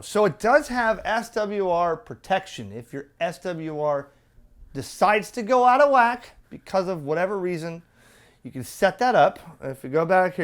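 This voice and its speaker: A man presenting a casual, off-the-cuff hands-on product demo, conversational and opinionated, often tossing in rhetorical questions. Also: high voice.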